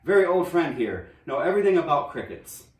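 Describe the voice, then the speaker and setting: A man's voice: on the high side, very animated, brisk, voice pushed up. A man voicing a friendly, polite Chinese shopkeeper who speaks in short broken-English phrases, as in a storybook reading. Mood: welcoming. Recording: roomy echo, distant microphone.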